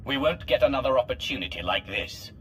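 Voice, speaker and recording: A man's voice: mid-range voice, lively intonation, fairly quick, fairly bright tone, a little hiss or hum, lightly acted. A man playing a resolute Sith commander in a scripted video game, terse and controlled. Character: resolute, commanding male Sith Alliance leader.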